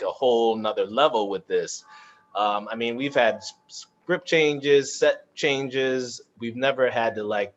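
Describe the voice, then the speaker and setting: A man moderating a casual live online panel as a cast member, halting and searching for words as he speaks. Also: medium pitch. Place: online video call. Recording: muffled, dull video-call audio.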